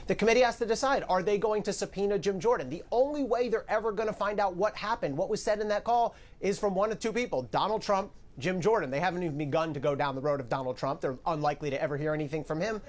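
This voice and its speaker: A male legal analyst with a notably high voice speaks on a live news panel. He lays out each point step by step and leans on key words. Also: quick pace, hardly any pauses. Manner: emphatic, explains step by step.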